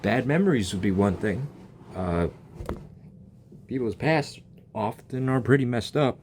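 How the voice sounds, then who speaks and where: A man's voice: medium pitch, animated, measured pace, a little mellow, a little hiss or hum. A man doing casual, blunt and joking reaction commentary as he plays a video game. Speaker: gaming video creator. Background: faint game music.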